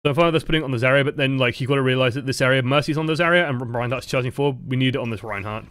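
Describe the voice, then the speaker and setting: A young man casually commenting on game footage as a coach, relaxed and chatty, with the odd swear word. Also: mid-range voice, rapid-fire.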